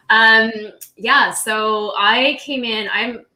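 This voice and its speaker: A woman's voice: fairly high pitch, steady tone, drawn-out words, bright, forceful tone. A middle-aged woman, born in Austria and raised in California, telling her story as a podcast guest in an upbeat, chatty way with frequent laughs. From born in Austria, grew up in California. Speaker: podcast guest, social media marketer. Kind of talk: casual interview talk.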